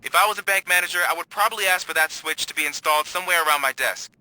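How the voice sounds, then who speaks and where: A man's voice: a little high, rapid-fire, bright, forceful tone, dry recording, rough recording. An actor playing an earnest, nervous rookie policeman in a video game, eager, flustered and hesitant. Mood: helpful.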